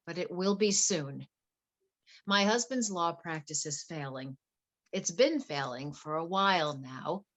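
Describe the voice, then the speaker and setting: A woman playing a wealthy, anxious wife in a play reading, earnest, polite and flustered. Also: lowish voice. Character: wealthy, anxious wife confiding fears about her husband.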